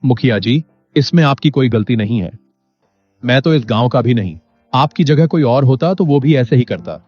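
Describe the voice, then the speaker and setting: An actor playing an honest, innocent poor farmer in an animated moral story, speaking simply and earnestly. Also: male voice, mid-range voice, normal speed, natural voice. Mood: gentle, pleased. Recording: dull lo-fi audio. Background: music.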